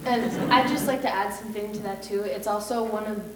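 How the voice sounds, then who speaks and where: A woman's voice: medium pitch, fairly even intonation, brisk, background noise. An American woman in her thirties giving a chatty personal testimony at church, full of fillers and quoted dialogue. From United States. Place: church hall. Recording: echoey room, mic at a distance.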